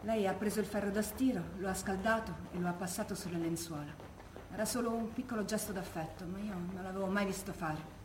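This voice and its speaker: A woman's voice: medium pitch, brisk, lightly acted. An actress playing a tender former partisan woman remembering a comrade in an intimate, emphatic stage monologue. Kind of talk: stage monologue from oral testimony. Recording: roomy, echoey stage recording with noise.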